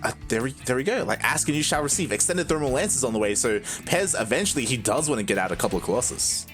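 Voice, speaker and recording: Man casting a strategy game, animated pitch, conversational with fillers, game music behind. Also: fast talker. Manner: conversational, filler-heavy, chuckling. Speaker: esports caster and producer. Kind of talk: live game commentary. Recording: commentary over game music.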